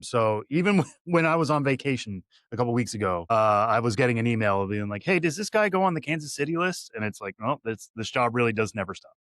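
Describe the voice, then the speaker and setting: A man's voice: medium pitch, lively intonation, fast, few pauses, a bit bright, no room sound. A man in his thirties from Western Massachusetts, a guest analyst giving long, detailed conversational answers with light fillers. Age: 30s.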